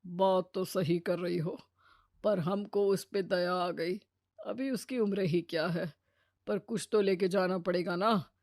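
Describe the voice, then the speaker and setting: A woman voicing an officious male messenger of death in a cartoon story, with a stern, deadpan, scolding manner. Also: on the low side, measured pace, no echo, studio quality, voice pushed down. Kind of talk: Hindi cartoon storytelling dub.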